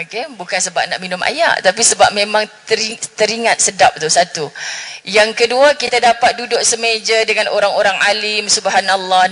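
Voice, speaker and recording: Middle-aged woman preacher with a northern Malaysian Malay accent; bright, animated, playful with the crowd; long hall reverb. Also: mid-range voice. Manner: chatty, jokes with the audience, Arabic phrases. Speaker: religious speaker. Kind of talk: lively religious forum talk. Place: mosque hall.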